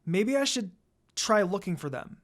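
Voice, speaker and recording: Man playing young fan fiction heroine, high voice, lonely, tearful, plaintive, halting delivery. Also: very animated. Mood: hurt, resolving.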